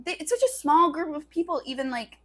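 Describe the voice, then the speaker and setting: A woman's voice: high-pitched, big pitch swings, fairly quick, bright, forceful tone. A woman co-hosting a podcast, chatting informally and opinionatedly, with swearing and plenty of "like.". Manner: informal, sweary, heavy use of "like". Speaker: podcast co-host. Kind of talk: casual opinionated banter.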